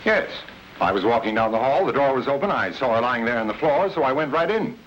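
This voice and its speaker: A man's voice: mid-range voice, big pitch swings, brisk, lightly acted. An actor playing an insistent, defensive con man posing as an indignant fiancé in an old-time radio crime drama. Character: smooth, defensive, blustering swindler posing as grieving fiancé. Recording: dull, noisy old radio recording.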